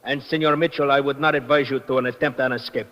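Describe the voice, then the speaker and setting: An actor playing a smug, cold-blooded crime boss, formal and menacing, in a 1950s radio drama. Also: male voice, mid-range voice, fairly even intonation, quick pace, fairly bright tone, lightly acted. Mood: threatening. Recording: muffled old radio recording with reverb.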